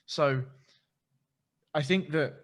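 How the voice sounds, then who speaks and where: A man hosting a casual interview podcast, animated and swearing freely as he tells stories and puts theories to his guest. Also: a little high, very slow. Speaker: podcast host.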